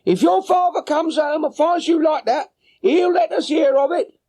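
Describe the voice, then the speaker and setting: A man's voice: very high-pitched, moderate pace, warm tone, voice pushed up. A man voicing a chatty, flustered working-class London boatman's wife for an audiobook. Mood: scolding. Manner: chatty, deferential, plain-spoken. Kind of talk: audiobook character voice.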